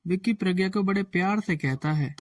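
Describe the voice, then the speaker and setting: A man's voice: sits high, fairly quick. A man narrating a scripted moral story as a storyteller. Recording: faint background music.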